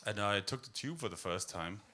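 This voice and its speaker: A man with an Austrian accent doing a stand-up set in a deadpan, darkly humorous way, full of fillers and hesitations. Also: lowish voice, moderate pace. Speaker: stand-up comedian. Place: comedy club stage.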